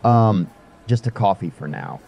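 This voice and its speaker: A man's voice: lowish voice, measured pace, highs cut off, over music, lightly acted. A man playing a weary, grumbling space hauler in a science fiction audio drama, laconic and resigned.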